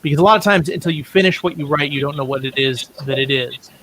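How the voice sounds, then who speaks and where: A man's voice: fairly high pitch, fast. A man speaking casually as a writer guest in a remote interview. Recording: hissy, noisy remote call audio.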